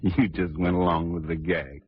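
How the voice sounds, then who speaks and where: An actor playing a grandiose, unhinged composer in an old-time radio drama, speaking in a theatrical, declamatory way. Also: male voice, deep voice, little pitch movement, normal speed, husky. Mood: subdued, resigned. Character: unhinged, grandiose male composer imagining his own triumph. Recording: dull vintage radio recording with music.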